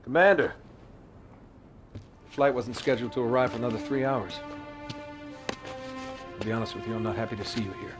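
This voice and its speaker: A man's voice: mid-range voice, fairly quick, long pauses, over music, natural voice. A man playing a stern, blunt senior military officer in a video game cutscene, curt and commanding. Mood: unwelcoming.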